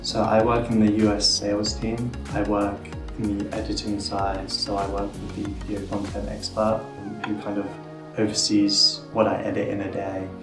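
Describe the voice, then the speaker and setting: A young man from England talks about his placement in a low, level voice, relaxed and a little hesitant. The room sounds roomy and echoey, with clear music underneath. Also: normal speed. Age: young adult. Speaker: student. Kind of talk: interview testimonial.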